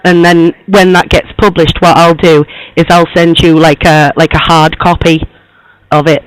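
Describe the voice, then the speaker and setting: Hoarse, high-pitched young woman reporter, played by a man, rambling and filler-heavy, dry sound. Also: level tone, moderate pace, no echo, natural voice, low-quality recording. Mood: friendly, chatty. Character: young woman magazine journalist, chatty and polite. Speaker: prank caller posing as interviewer. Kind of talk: prank phone call.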